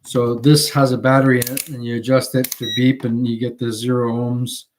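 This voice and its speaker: A man in his fifties talks through a hobby demonstration in a casual, unscripted style. His delivery is halting and wanders from one aside to the next, at an average male pitch. It is a home recording with faint background noise. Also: flat delivery, low-quality recording. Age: 50s. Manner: rambling, halting, digressive. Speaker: hobbyist video host. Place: indoors at home.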